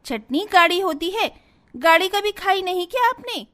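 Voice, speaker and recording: A woman's voice: very high-pitched, expressive, moderate pace, fairly bright tone, faint music behind, pitched above the performer's own voice. A woman voicing a picky, mocking young sister-in-law in a family story, with a whiny, complaining manner. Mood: mocking complaint.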